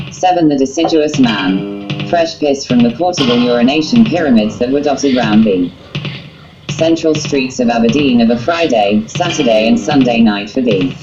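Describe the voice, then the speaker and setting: A woman reading a wry, wordy literary story in a scripted narration style. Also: rumbling low. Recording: muffled lo-fi, guitar music behind. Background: plucked guitar music.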